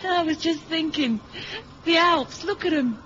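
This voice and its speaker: A woman's voice: very high voice, measured pace, fairly bright tone. Muffled, matter-of-fact female agent. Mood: lighter, wry. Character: calm, practical, wry female secret agent. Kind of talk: 1970s radio drama acting. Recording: muffled, faintly noisy old radio recording.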